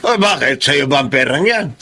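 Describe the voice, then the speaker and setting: A man's voice: medium pitch, expressive, normal speed, pushed and bright, a little hiss or hum. A man playing a grumbling, aggrieved middle-aged Filipino father in a radio drama, an idle ex-seaman who likes his drink.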